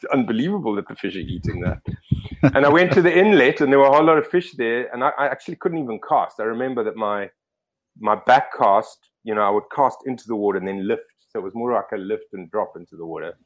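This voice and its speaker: A man's voice: mid-range voice, lively intonation, fast, low-quality recording. A middle-aged man from Zimbabwe telling stories as a podcast guest, rambling with many fillers and restarts. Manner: rambling, self-correcting, lots of fillers. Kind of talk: casual storytelling interview.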